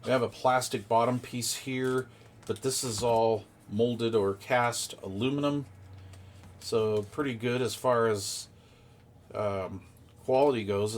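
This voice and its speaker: A man's voice: mid-range voice, a little hiss or hum. A man giving a casual, hands-on unboxing commentary, explaining details informally and thinking aloud as he goes. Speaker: product reviewer.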